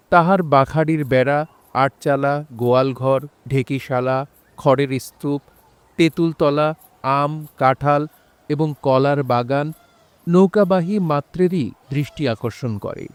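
A man narrating a literary audio drama in a measured, poetic recitation. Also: fairly high pitch, clean tone, no echo.

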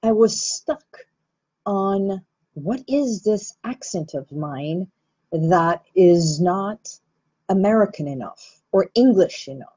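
A woman's voice: mid-range voice, lively intonation, slow pace, warm tone, breathy edge. A woman with an Armenian accent gives an earnest, thoughtful talk as a healing practitioner and host. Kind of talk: reflective talk to camera.

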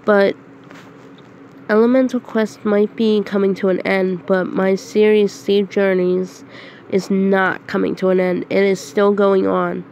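A woman with a head cold, sounding nasal and hoarse, talking to her channel's viewers in a slow, halting casual announcement. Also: mid-range voice, level tone, a little mellow, slight background noise. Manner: slow, halting, with frequent throat clearing and coughs. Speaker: channel host. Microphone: phone screen recorder.

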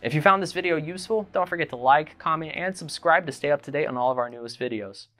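A man's voice: fairly high pitch, fast, bright, forceful tone, soft background music. A young man in his twenties presenting a scripted explainer as host, upbeat and running through lists of features in an indoor showroom. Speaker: host of a boat dealer's learning series.

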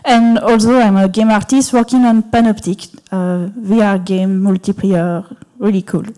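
A woman's voice: medium pitch, steady tone, mellow. A woman on a live panel, speaking hesitantly with restarts and fillers. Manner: hesitant, halting, with restarts and laughs. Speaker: panelist, animation director and game artist. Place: small venue stage before an audience.

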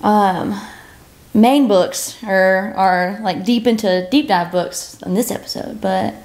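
A woman's voice: medium pitch, takes it slowly, a little mellow. A thirty-year-old woman chatting casually as a podcast co-host, exclamatory and bubbly, often saying "like". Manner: bubbly, exclamatory, peppers talk with "like". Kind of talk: casual chat. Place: living room sofa at home.

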